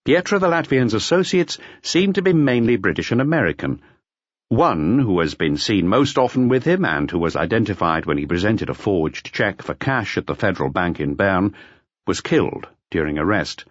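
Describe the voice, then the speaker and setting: A man's voice: on the low side, big pitch swings, normal speed, no room sound, muffled recording. A man reading a detective novel aloud as a scripted audiobook narration.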